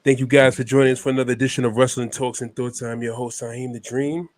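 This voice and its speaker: A man's voice: medium pitch, little pitch movement, fast, darkish tone, no echo. A man with a stuffy head cold hosting a laid-back wrestling talk show, tossing "man" into almost every line. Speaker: podcast host. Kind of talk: casual wrestling chat.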